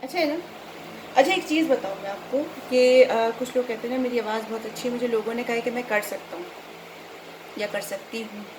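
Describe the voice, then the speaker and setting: A woman's voice: a little high, fairly quick. A middle-aged Pakistani woman chatting casually and instructively to viewers as a voice-over trainer, mixing in English words. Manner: conversational, instructive, code-mixes English words. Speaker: voice-over artist and trainer, host. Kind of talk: casual explanatory chat to viewers. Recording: clearly noisy background.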